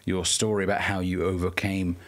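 A man with a very deep voice hosts an interview podcast in a studio. He speaks earnestly and carefully, feeling his way into each question in a conversational style. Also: flat delivery, brisk.

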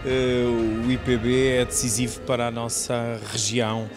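A middle-aged clergyman speaks in a level, mid-pitched voice with a European Portuguese accent. He is formal, pausing often, over faint music. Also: little pitch movement. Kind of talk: formal congratulatory interview remarks.

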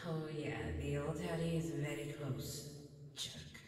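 A woman's voice: sits low, little pitch movement, a little slow, a little mellow. Knowing woman, very echoey. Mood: earnest, teasing. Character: knowing, frank female companion with magic skills. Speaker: actor in radio drama. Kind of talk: radio drama acting. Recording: very echoey hall, distant from mic.

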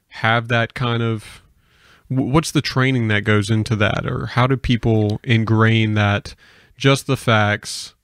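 A man's voice: medium pitch, fairly bright tone, smooth, no echo, studio quality. A man hosting a podcast, asking casual interview questions and rephrasing them as he feels his way along.

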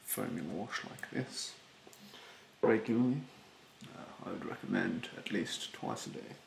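Man acting a creaky, snobbish ASMR facial therapist. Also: low voice, expressive, reverberant, a little hiss or hum, heavily acted, poor audio. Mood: condescending. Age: young adult. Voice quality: soft, breathy, partly whispered. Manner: haughty, halting, drawn-out delivery. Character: rude, snobbish, condescending male facial therapist. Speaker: ASMR performer playing a beautician. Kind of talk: ASMR roleplay. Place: bedroom, indoors.

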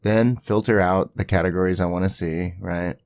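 Deep, creaky-voiced man giving a casual tutorial. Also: fairly even intonation, moderate pace, dry recording. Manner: rambling, informal, thinking aloud. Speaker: tutorial host. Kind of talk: casual screen-recording software tutorial.

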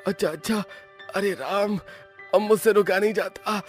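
A man's voice: high-pitched, fairly even intonation, moderate pace, faint music behind, voice pushed up, close to an everyday voice. A man voicing a comic, panicky village man with an upset stomach, whining and exclaiming dramatically in a cartoon story. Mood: desperate whining. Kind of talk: Hindi cartoon horror-comedy voice acting.

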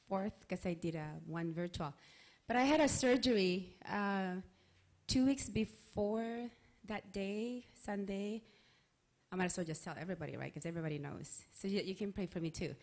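A woman speaking earnestly into a handheld mic, sharing a personal testimony before a church congregation. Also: mid-range voice. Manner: earnest, conversational, with restarts. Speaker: church member giving a testimony. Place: church sanctuary, in front of a congregation.

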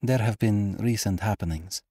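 A man's voice: lowish voice, normal speed, mellow, husky, dry recording, clean studio sound, voice pushed up. A man voicing an elegant, frightened noblewoman with a slight foreign accent and a tremulous, pleading manner in a dramatic audiobook. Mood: guarded, composed. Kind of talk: audiobook character acting.

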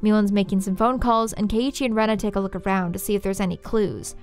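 A woman video essayist reading scripted story analysis, dramatically, with deliberate pauses. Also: medium pitch, steady tone, soft background music.

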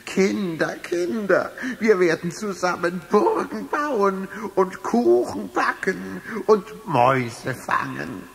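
An actor playing an excitable, doting old king in a children's audio play. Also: high-pitched, takes it slowly, vocal fry, hardly any pauses, exaggerated voice. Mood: giddy, delighted.

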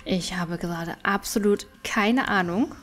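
A woman's voice: medium pitch, measured pace, soft background music. A woman giving casual let's play commentary, chatty and thinking aloud as she goes. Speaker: gaming streamer and let's play host.

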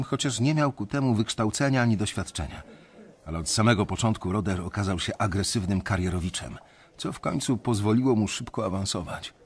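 A man's voice: lowish voice, slightly rough. An actor playing a resentful young investment banker who tells his story bitterly in a radio drama.

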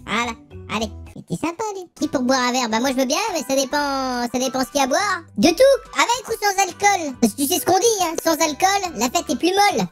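A man's voice: extremely high pitch, expressive, fairly quick, fairly bright tone, faint music behind. A man voicing a flirtatious male tiger avatar, teasing and joking with strangers in a prank video. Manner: teasing, joking, innuendo-laden. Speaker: prankster host. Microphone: headset.

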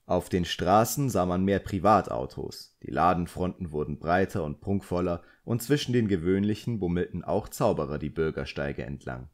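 Low-voiced male audiobook narrator. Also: animated, moderate pace, few pauses. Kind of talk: scripted literary narration.

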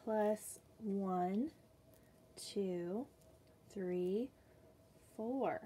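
Young woman teacher, storytime for little kids, patient and soft-toned, faint hiss behind. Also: mid-range voice. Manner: slow, patient, sing-song counting. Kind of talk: reading a picture book aloud and counting for young children. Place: small room indoors.

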